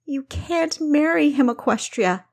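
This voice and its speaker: A woman's voice: a little high, animated, measured pace, voice pushed up. A woman reading a storybook voices a furious, sarcastic younger sister who snarls her words. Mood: bitter.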